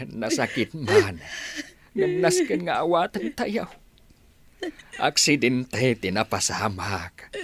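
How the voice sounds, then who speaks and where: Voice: mid-range voice, a bit bright, slightly rough, dry recording, heavily acted. A performer playing a sombre village man in an Ilocano radio drama, solemn and consoling.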